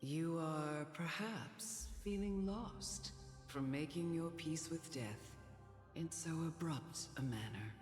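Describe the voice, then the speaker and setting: A woman voicing a commanding, composed, regal woman in a video game, with measured, formal and imperious speech. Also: sits low, a little mellow. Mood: calm, probing. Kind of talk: dramatic video game voice acting. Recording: echoey, with clear music underneath. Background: game music.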